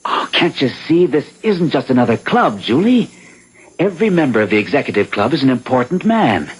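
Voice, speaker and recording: An American actor playing a nervous, status-hungry husband in a 1970s radio drama, jittery and eager. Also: male voice, medium pitch, big pitch swings, brisk, slightly rough, low-quality recording. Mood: eager, exasperated. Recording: vintage radio drama broadcast.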